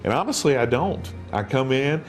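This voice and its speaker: A man's voice: medium pitch, expressive, normal speed, soft background music. A man who works as a morning radio DJ, talking casually in a rambling, chatty way. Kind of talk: casual interview.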